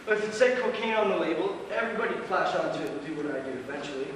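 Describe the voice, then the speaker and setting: Man acting high-voiced pompous stoner, echoey stage. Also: expressive, racing, fairly bright tone. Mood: self-important. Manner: pompous, pedantic explaining. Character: smug male drug user, pompous self-styled amateur chemist. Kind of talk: live stage play acting. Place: stage of a small theatre. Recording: very echoey hall, far mic, noisy.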